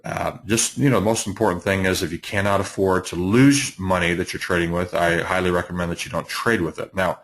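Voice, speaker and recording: A middle-aged man, slightly hoarse, hosting a live trading webinar with many fillers and restarts. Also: deep voice, fairly even intonation, a little fast, hardly any pauses, fairly bright tone, poor audio. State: hoarse from allergies. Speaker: trading educator, webinar host.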